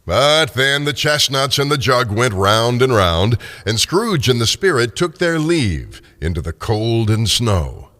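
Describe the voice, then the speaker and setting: An older man narrating a story dramatically, with theatrical, deliberate phrasing. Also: mid-range voice, sing-song, a bit bright. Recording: long reverberant tail on voice.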